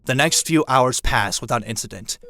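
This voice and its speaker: A man's voice: medium pitch, moderate pace, pushed and bright. A man reading dramatic scripted narration for an audio drama.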